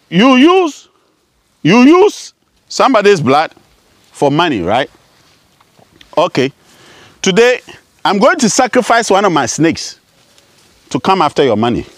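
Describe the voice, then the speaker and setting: A man in his forties from Ghana addresses the camera outdoors with fierce, declamatory accusations, his voice pitched high and swinging widely up and down. The sound is dry and close, with no room around it. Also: no echo. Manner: declamatory, rising and falling sharply, repeating "huh?". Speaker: spiritualist. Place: outdoors among bushes.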